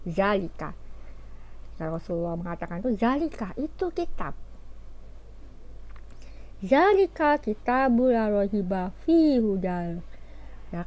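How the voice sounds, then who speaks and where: A woman giving an informal religious talk, explaining scripture in an animated way with fillers and rhetorical questions. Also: mid-range voice. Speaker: religious teacher.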